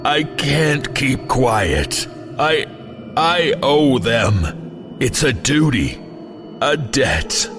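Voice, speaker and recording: Haunted young male student, played by a man narrator: tearful, halting, slow horror-story delivery over clear music. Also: medium pitch, sing-song, voice pushed up. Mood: anguished, pleading. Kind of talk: horror story character dialogue.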